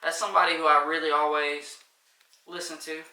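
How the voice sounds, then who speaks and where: A man's voice: on the high side, level tone, a little fast, roomy sound, recorded from a distance, crowd noise, low-quality recording. A man, a rapper, talking loosely in a casual interview, with a light voice and lots of fillers. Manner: loose, rambling, many fillers.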